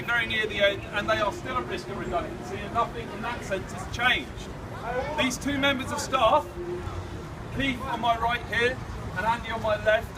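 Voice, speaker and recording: Union rep, male, very high voice, projecting outdoors, caught from a distance over street noise. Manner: loud, projecting, repetitive rally address. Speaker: union branch secretary. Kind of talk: outdoor protest speech without a microphone. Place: outdoors at a college protest, street traffic nearby. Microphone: distant camera. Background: traffic and street ambience.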